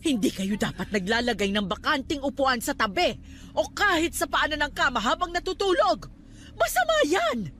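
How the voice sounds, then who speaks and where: An actress playing a stern, superstitious aunt who scolds and admonishes in a Filipino radio drama. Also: female voice, high-pitched, big pitch swings, normal speed, bright, forceful tone, slight background noise, exaggerated voice. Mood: alarmed warning.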